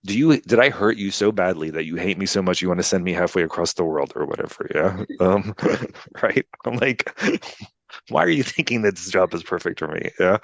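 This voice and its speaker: Very deep-voiced man in his fifties. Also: animated, normal speed, no echo, lo-fi sound. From United States. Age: 50s. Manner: rambling, filler-heavy, frequent "you know" and "right?". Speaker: interview guest, attorney. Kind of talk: casual storytelling interview. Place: Poland, remote interview. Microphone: video call.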